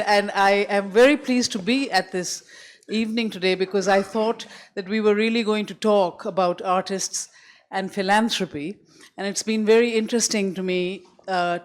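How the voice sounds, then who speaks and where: Effusive woman asking a long question. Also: mid-range voice. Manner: effusive, winding, emphatic. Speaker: audience member, artist.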